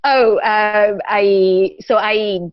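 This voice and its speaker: A woman who grew up in Taiwan, a guest in a casual interview, talking in a thoughtful and unhurried way with lots of pauses. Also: mid-range voice, fairly even intonation, clear, resonant tone, dry recording. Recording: dull lo-fi dry audio.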